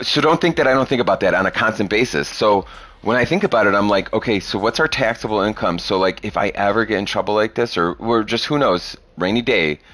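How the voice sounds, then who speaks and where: Podcast host, man, 30s, low voice, blunt and profane, close dry mic. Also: fairly bright tone, dry recording. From Chicago area. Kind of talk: casual chat.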